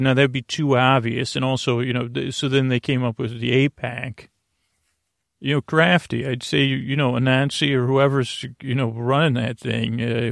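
A man with a gravelly, fry-laden voice rambles through a bedtime monologue. He speaks haltingly and wanders into whimsical tangents, leaving thoughts half finished. The sound is dry, with no room around it. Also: mid-range voice, no room sound. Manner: meandering, halting, trailing off into tangents. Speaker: sleep podcast host.

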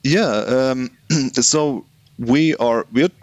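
A man's voice: mid-range voice, slow, no echo, highs cut off. A man speaking as a business guest in a casual interview, often restarting and repeating words as he thinks. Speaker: guest, online business founder.

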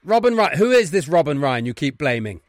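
A man playing a stern headmaster in a comedy sketch, scolding schoolboys in a loud, emphatic, repetitive way. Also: fairly high pitch, sing-song, brisk, no echo, studio quality.

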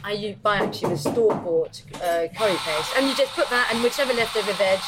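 A woman chef and cookbook author chatting casually about cooking, lively and laughing often. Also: mid-range voice, quick pace, poor audio. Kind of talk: casual chat.

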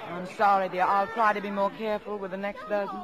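An American actress playing a patient, middle-aged wife and mother in a 1950s radio comedy, gentle and placating. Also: medium pitch, little pitch movement, fast, crowd noise, natural voice.

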